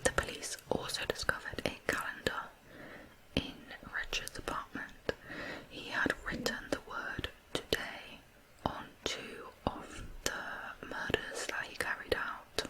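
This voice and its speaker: A woman narrates true crime in ASMR style, in a soft, breathy, unhurried whisper. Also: low-quality recording.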